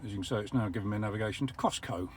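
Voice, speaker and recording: An older English man explaining how an electric car works from the driver's seat, measured and a little wry. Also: lowish voice, a little hiss or hum. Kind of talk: casual vlog commentary. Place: parked car.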